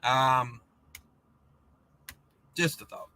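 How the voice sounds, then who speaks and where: A man hosting a trucking livestream, reading viewer comments in a rambling, laid-back chat, and his voice is going hoarse. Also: mid-range voice, very slow, pushed and bright, a little hiss or hum. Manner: rambling, laid-back, many fillers and restarts. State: losing his voice.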